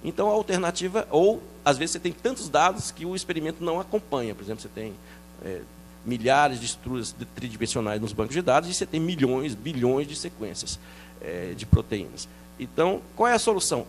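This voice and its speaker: A middle-aged man from France, a researcher explaining his work in a TV interview, quick and animated, with many restarts and fillers. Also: on the high side, a little hiss or hum, rough recording. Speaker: researcher guest.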